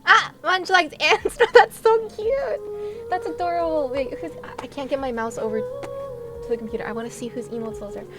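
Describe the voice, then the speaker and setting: A woman's voice: high voice, big pitch swings, normal speed, loud music behind the voice. A woman livestreaming and chatting casually with her viewers, giggly and playful, with gushing exclamations and sing-song asides. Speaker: live streamer.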